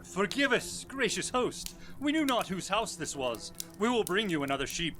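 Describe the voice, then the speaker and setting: Blustering heroic sea captain, played by an actor, grandiose and very animated, over prominent music. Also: medium pitch, normal speed. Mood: bravely polite. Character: brave, blustering male sea captain adventurer, cheerfully overconfident. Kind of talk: theatrical audio drama acting.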